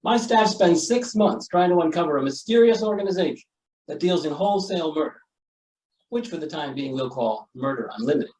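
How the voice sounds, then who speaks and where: A man's voice: on the high side, expressive, fairly quick, a little mellow, roomy sound, far from the mic, lightly acted, rough recording. A man playing an exasperated, sarcastic newspaper editor in old-time radio style, blustering through his lines. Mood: dry disbelief. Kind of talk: old-time radio comedy-thriller acting.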